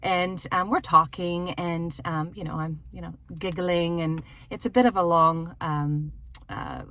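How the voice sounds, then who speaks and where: A woman hosting a casual storytelling vlog from inside a parked car, animated and giggly, acting out bits of dialogue. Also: fairly low pitch, a little slow. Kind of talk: casual personal storytelling to viewers.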